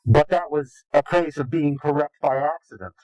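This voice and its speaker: A man answering interview questions combatively, in a formal, deliberate and contemptuous way. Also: a little high, a little mellow, dry recording, rough recording. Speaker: interviewed anonymous informant.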